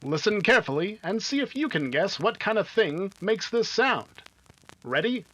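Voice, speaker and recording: A man's voice: high voice, very animated, moderate pace, fairly bright tone, dull audio. A man narrating and announcing in the scripted style of an old-time radio adventure serial.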